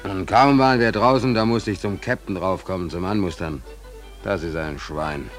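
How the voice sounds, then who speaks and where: A man's voice: deep voice, normal speed, lightly acted. A man playing a stubborn, wronged sailor who protests in an old 1940s radio play. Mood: bitter. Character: wronged, indignant male sailor signed on as a ship's trimmer. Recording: vintage 1940s radio recording with music.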